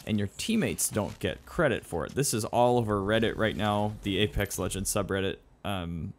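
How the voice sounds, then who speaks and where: A man's voice: fairly low pitch, lively intonation, moderate pace. A man giving casual, rambling gameplay commentary as host of a gaming video. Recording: faint game noise behind voice.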